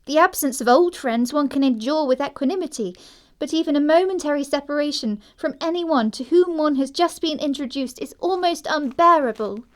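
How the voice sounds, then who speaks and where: A woman plays a prim young society girl in a classic comedy audio drama. Her voice is high and her delivery precise and theatrical. She sounds dreamy yet completely self-assured. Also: a little fast, lightly acted. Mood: wistful, polite.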